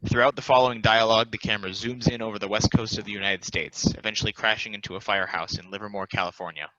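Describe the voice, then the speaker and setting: Bright-voiced man reading stage directions. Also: on the low side, steady tone, quick pace, no room sound, lo-fi sound. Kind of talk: scripted narration.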